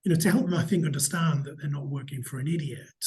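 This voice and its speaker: A middle-aged man from Newcastle with a slight Geordie accent, answering interview questions in a measured, candid way with frequent restarts. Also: a little high, darkish tone, a little hiss or hum. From Newcastle, England. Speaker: hotel company chief executive, interviewee.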